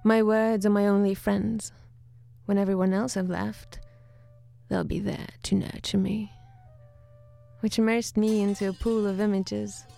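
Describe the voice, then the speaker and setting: A woman from Sweden with a Swedish accent, chatting casually as a studio guest and then reading her poetry slowly and deliberately. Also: medium pitch, little pitch movement, gravelly, dark tone, soft background music. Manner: laughs easily, reads poetry slowly and deliberately. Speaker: guest poet. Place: radio studio.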